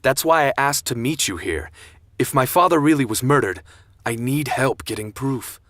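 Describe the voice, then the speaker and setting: A man's voice: mid-range voice, lively intonation, moderate pace, fairly bright tone, no echo, studio quality, lightly acted. A young man with an American accent acting an earnest, urgent college student in a radio drama. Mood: determined. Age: young adult. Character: intense young college man bent on exposing his uncle.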